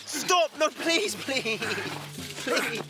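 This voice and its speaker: Scared captive boy, woman acting, high voice, pleading and straining, loud noise all around. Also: lively intonation, extremely slow, full character voice. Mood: panicked. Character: frightened, struggling captive boy. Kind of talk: audio drama acting.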